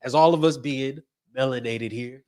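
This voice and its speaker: A man's voice: mid-range voice, normal speed, a little mellow. A man co-hosting a talk show, reasoning aloud with hesitant restarts. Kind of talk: casual talk-show discussion.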